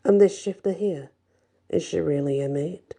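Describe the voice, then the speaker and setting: A woman reads as a bullying male werewolf alpha in a fantasy story. He talks bluntly and tauntingly, with a dark, creaky voice. Beneath the swagger he is cowardly. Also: low voice, moderate pace. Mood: surprised.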